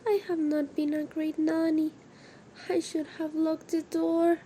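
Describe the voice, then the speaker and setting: A woman acting a grieving, apologetic farmer and nanny in an amateur radio drama, speaking haltingly. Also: sits high, little pitch movement, mellow. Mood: guilty, sorrowful. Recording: muffled, with clear background noise.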